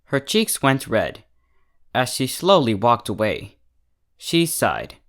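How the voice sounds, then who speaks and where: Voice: mid-range voice, huge pitch swings, slow, a bit bright. A storyteller reading scripted fiction aloud as its narrator. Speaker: fan fiction reader, narrator.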